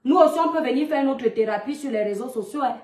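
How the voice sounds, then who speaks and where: A woman's voice: high voice, fast talker, a little mellow, reverberant. A woman in her thirties with a Cameroonian French accent, lecturing in a scolding monologue full of rhetorical questions. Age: 30s. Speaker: mother addressing a public figure online. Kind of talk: monologue video rant.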